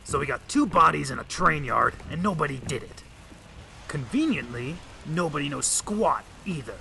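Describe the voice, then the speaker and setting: An actor playing a gruff, wisecracking big-city detective in an old-time radio drama, with hard-boiled slang. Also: male voice, on the high side, expressive, normal speed, bright, forceful tone, a little hiss or hum, exaggerated voice. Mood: suspicious.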